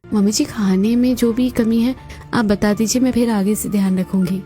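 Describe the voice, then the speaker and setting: A woman voices a young, newly married daughter-in-law in a cartoon family drama. She is polite, dutiful and meek, with a medium-pitched voice over background music. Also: fairly even intonation, normal speed, dark tone, natural voice.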